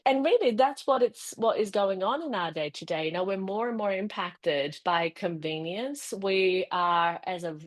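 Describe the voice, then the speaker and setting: A middle-aged woman from Brazil with an Australian accent, a fertility specialist answering at length in a podcast interview, explaining thoughtfully with some restarts. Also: fairly low pitch.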